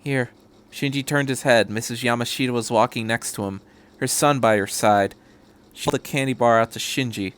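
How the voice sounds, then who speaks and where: A man's voice: mid-range voice, normal speed, a bit bright, clean tone. A man reading a story aloud as a podcast host, in scripted narration. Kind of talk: scripted narration of fan fiction.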